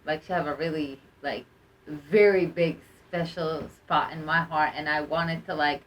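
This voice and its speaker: A woman's voice: low voice, fairly even intonation, measured pace. A young woman from Egypt, chatting casually as a podcast guest and leaning on fillers like "like" and "you know.". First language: Arabic. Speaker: guest, musical artist. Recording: roomy sound, mic at a distance, faint noise.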